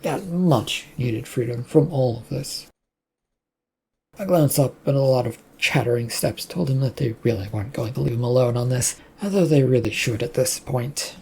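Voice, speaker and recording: A narrator of unclear sex reading a scripted story aloud in a husky, creaky voice. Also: medium pitch, moderate pace, darkish tone, slight background noise.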